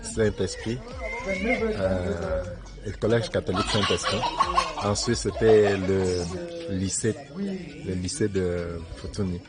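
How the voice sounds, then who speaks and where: A man from Cameroon, interviewed as a visiting donor, speaking haltingly with many fillers. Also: deep voice. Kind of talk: casual interview.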